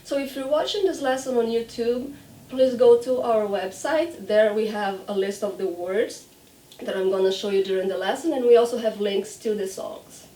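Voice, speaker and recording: Brazilian woman teaching, mellow voice, roomy echo. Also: fairly high pitch, normal speed. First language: Portuguese. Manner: clear demonstrative enunciation, repeats example words. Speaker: language teacher. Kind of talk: instructional pronunciation lesson. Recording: roomy echo, distant microphone.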